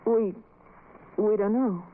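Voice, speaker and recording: A woman's voice: medium pitch, takes it slowly, lightly acted. A young woman's voice on a tinny old radio recording, an actress playing someone anxious and headstrong. She speaks urgently and emotionally, in vintage radio-drama style. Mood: defensive. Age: young adult. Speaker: actor. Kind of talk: 1940s radio drama acting.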